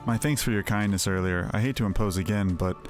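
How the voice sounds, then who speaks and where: A formal, courteous stable master from a fantasy game, as a man voices him. His speech is courtly and low-pitched, carrying a steady worried earnestness. Soft game music plays underneath. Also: quick pace, close to an everyday voice. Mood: earnest.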